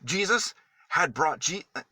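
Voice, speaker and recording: A man reading a children's story aloud in a dramatic, deliberate manner with emphatic pauses. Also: on the high side, animated, bright, forceful tone, slight background noise.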